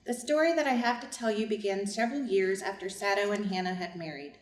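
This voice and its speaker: A woman's voice: mid-range voice, fast talker, natural voice. A woman reading the part of a devoted family servant who narrates a story in a scripted staged reading. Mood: earnest storytelling. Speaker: reader's theater narrator. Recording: echoey room.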